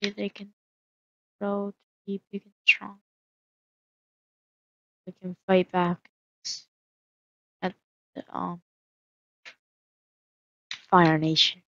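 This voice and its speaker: A woman's voice: lowish voice, steady tone, drawn-out words, long pauses, pushed and bright, lo-fi sound. A woman casually narrating her solo game roleplay, musing aloud with hesitant fillers.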